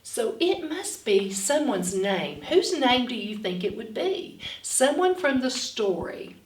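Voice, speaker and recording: A woman reading and teaching phonics to young children in an expressive, instructional way, sounding out words. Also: a little high, takes it slowly. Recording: roomy echo, distant from mic.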